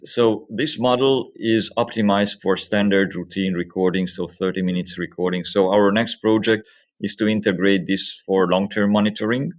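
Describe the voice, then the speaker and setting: Male research expert, low voice, methodical interview explainer. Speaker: medical researcher being interviewed.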